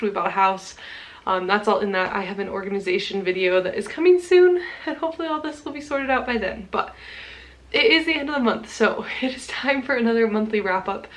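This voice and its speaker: Stuffy-nosed young woman chatting casually. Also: medium pitch. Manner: chatty, laughs often, many ums. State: stuffy nose from a cold. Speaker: book vlogger. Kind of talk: casual monthly reading wrap-up. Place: home room full of plants.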